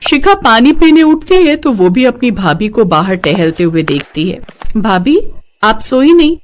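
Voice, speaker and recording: A woman's voice: mid-range voice, animated, normal speed, a little hiss or hum. A woman narrator reading a scripted moral bedtime story.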